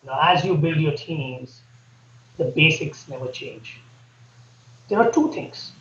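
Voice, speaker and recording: A man with an Indian accent, a podcast guest laying out his points earnestly and deliberately. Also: on the high side, expressive, a bit bright, slight background noise. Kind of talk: podcast interview. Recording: echoey, dull remote audio.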